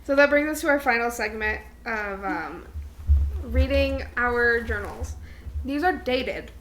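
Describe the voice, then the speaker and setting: Playful young woman, high bright voice. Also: unhurried, a little hiss or hum. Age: young adult. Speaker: podcast co-host. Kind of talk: casual chat between friends.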